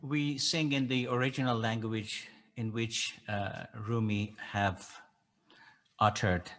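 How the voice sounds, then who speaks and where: An older man, a native Persian speaker, giving an informal explanatory talk as an educator in a halting, reflective way. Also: mid-range voice, steady tone, a bit bright. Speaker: educator and translator leading a poetry gathering.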